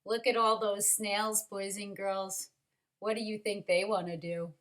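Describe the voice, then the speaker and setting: A woman reading a picture book aloud to young children, expressive and dramatic, speaking like a teacher who pauses to ask questions. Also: medium pitch. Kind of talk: animated storybook read-aloud for young children.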